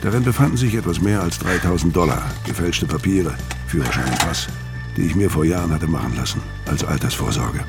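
Hitman narrator for crime radio drama, deep voice, terse, music behind him. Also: expressive, fairly quick, gravelly. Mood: detached, terse. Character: hard-boiled male hitman narrating his bloody escape. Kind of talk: scripted first-person noir radio drama narration.

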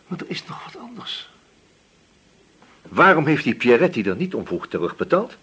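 An actor playing a shrewd, sceptical police inspector in a Dutch radio drama, questioning briskly and with authority. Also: male voice, mid-range voice, wildly swooping pitch, a bit bright, husky, heavily acted. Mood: puzzled, deliberate.

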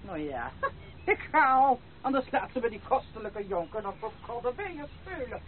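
A woman's voice: a little high, very animated, normal speed. An actress playing a grasping, scolding old village grandmother in a radio play, sly and sneering, with folksy rural speech. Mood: mocking. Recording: tinny, band-limited, hissy old radio recording.

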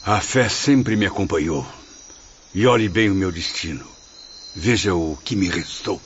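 Through dull radio drama audio comes a low-pitched, troubled man of learning, a bitter spirit. His speech is formal and brooding, full of wounded pride. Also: measured pace. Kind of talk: Portuguese-language radio drama acting. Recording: muffled lo-fi audio, night insects, noise.

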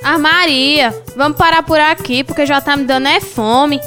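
A woman's voice: high voice, steady tone, moderate pace, bright, forceful tone. A young female student with a Northeastern Brazilian accent from Ceará, presenting a scripted educational radio programme. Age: child or young student. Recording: music playing behind the voice.

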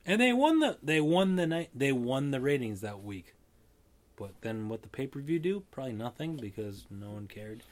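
A man with an everyday mid-pitched voice rambles through a casual chat. He thinks out loud, circling back on his points as he goes. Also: sing-song, normal speed. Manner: rambling, thinking aloud with fillers and restarts.

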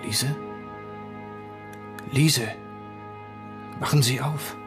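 An actor playing a secretive investigator in a radio crime drama, confiding and urgent yet reassuring. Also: male voice, mid-range voice, fairly even intonation, very slow, music in the background.

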